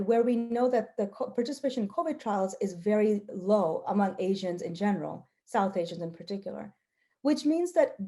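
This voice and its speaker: A woman researcher, a native Gujarati speaker, giving a prepared talk with personal storytelling in an earnest, measured, emphatic way. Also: fairly high pitch, mellow. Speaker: health researcher presenting.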